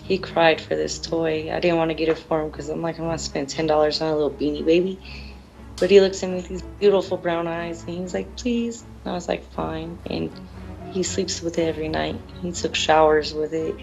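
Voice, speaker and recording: A woman with a low, gravelly voice speaks in a plain, sorrowful way about personal experience. Soft music sits behind her. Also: level tone, a little fast, low-quality recording. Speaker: bereaved mother. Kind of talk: emotional personal account.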